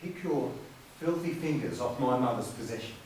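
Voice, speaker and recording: Man acting indignant younger brother, lively, echoey. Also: medium pitch, normal speed, close to an everyday voice. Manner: indignant, sarcastic retorts. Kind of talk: amateur stage play acting. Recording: echoey room from far off, faint noise.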